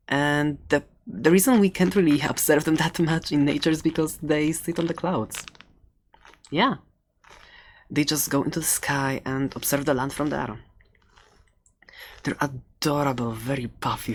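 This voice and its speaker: Woman as a fantasy dragon egg shopkeeper for ASMR roleplay: very deep register, hesitant, playful, friendly, over audible background noise. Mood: playful, informative. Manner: hesitant, rambling, giggly. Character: soft-spoken, playful dragon egg seller, friendly and rambling. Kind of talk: ASMR roleplay, improvised and conversational.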